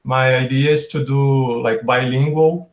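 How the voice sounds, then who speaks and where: Brazilian guest, Portuguese native, chatty explainer, echoey room sound. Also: male voice, medium pitch, steady tone, a little slow. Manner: explains at length with restarts. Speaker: podcast guest. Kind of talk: casual chat. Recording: roomy echo.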